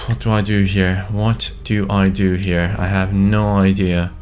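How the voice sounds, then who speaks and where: A young man giving casual, excitable commentary on a football video game, swinging into panicked cries when things go wrong. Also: sits low, slow pace, soft background music. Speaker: gaming YouTuber.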